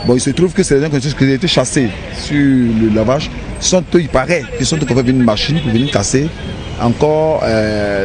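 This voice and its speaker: A man with an Ivorian accent giving an emphatic, repetitive street interview outdoors. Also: mid-range voice. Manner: emphatic, repeats phrases. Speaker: aggrieved resident interviewed on the street. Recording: noisy outdoor street with traffic.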